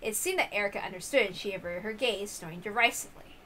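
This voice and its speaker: A woman reading scripted visual novel narration in an expressive storytelling style. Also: medium pitch, fast, slight background noise.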